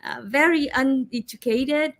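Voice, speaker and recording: Quick Vietnamese-accented woman interviewer. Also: high voice. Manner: quick, animated, with many fillers. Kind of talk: interview and translating.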